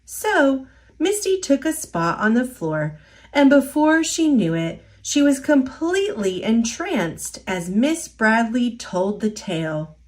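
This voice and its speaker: A woman reading a children's picture book aloud in an expressive storytelling voice. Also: on the high side, takes it slowly.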